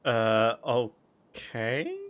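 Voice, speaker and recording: A man's voice: medium pitch, animated, extremely slow, fairly bright tone. A man live-streaming a video game, giving casual off-the-cuff reactions.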